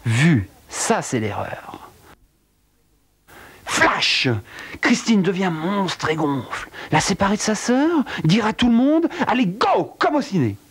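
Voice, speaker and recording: A man telling a dark true-crime tale in a wry, theatrical way, with a cold. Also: sing-song, slow, husky. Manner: wry, theatrical storytelling with big pitch swings. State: has a cold. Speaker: narrator. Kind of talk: dramatic spoken narration.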